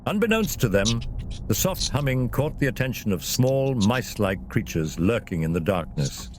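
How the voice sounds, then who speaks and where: A man's voice: medium pitch, big pitch swings, normal speed. A man narrating a scripted fantasy adventure in a dramatic storytelling style. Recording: clear vehicle and ambience noise behind.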